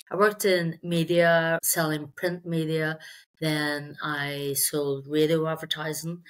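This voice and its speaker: A middle-aged woman with a Belfast accent telling her career story conversationally in an interview, with wry, self-deprecating asides. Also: sits low. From Belfast, Northern Ireland. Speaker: sales executive, interview guest. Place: indoor room on a video call.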